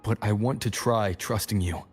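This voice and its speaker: A man voice acting an earnest, serious young adventurer hero in a video game, husky, sincere and restrained. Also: fairly low pitch, moderate pace. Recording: music behind the voice.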